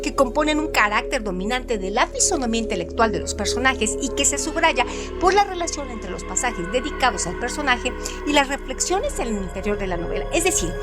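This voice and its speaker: A woman with a high voice gives a scripted reading. Her delivery is lively and full of movement, with music playing clearly underneath. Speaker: host reading trivia segment. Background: background music.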